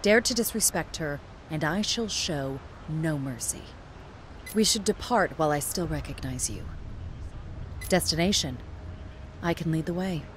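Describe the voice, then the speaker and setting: Game casting: a devoted young female warrior guard, low register, unhurried and solemn, music underneath. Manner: formal, restrained, solemn delivery. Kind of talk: scripted video game character voice lines.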